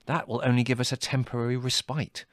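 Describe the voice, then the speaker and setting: A man's voice: mid-range voice, level tone, fast, a bit bright, no room sound, clean studio sound, voice pushed up, natural voice. A man voicing an exasperated, scornful young man in a storybook reading, theatrical, sardonic and snapping. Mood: exploding.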